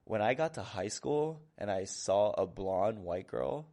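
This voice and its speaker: A man's voice: on the low side, expressive, measured pace, vocal fry, warm tone. A man in his twenties from Hawaii, a guest in a casual interview, rambling with many fillers and restarts.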